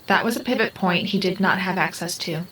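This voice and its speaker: An actress playing a half-human house intelligence in an audio drama, calm, formal and devoted, with precise clinical diction. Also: female voice, fairly low pitch, fairly quick, a little hiss or hum, lightly acted. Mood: matter-of-fact.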